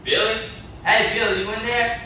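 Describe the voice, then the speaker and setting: A man's voice: high-pitched, flat delivery, normal speed, sharp tone. A young man playing a simple, chatty country neighbour in an amateur stage play, with a naive, inquisitive, folksy manner. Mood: puzzled, curious. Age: young adult. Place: classroom-like room, indoors. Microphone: far camera. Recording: echoey room, distant camera mic, faint noise.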